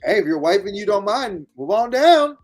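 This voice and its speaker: A man with a New York accent hosting a live chat, animated and friendly. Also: high voice, moderate pace, faint music behind. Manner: animated, friendly, says dude a lot. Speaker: host.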